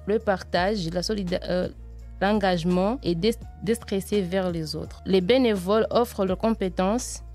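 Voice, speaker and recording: A young woman student giving a shy, halting presentation for a school podcast. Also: mid-range voice, a little mellow.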